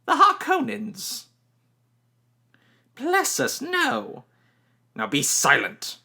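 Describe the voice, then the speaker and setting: A man voicing an ancient, stern woman who tests a boy with slow, commanding menace. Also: extremely high pitch, wildly swooping pitch, vocal fry, sharp tone, pitched above the performer's own voice, heavily acted.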